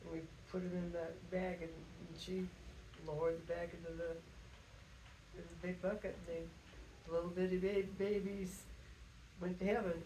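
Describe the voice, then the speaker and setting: An older woman tells family stories like a grandmother at home. Her phrasing is halting and folksy, wandering through small details. The room is echoey, with faint noise underneath. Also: on the low side, flat delivery, mellow. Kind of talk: casual storytelling reminiscence. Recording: roomy echo with faint background noise.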